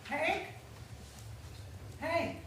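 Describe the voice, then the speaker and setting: A woman's voice: sits high, extremely slow, a bit bright, full character voice. An actress in a staged play reading, playing a harried, insistent older wife and mother. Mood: calling out, exasperated. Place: indoor live staged reading. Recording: echoey room from far off, faint noise.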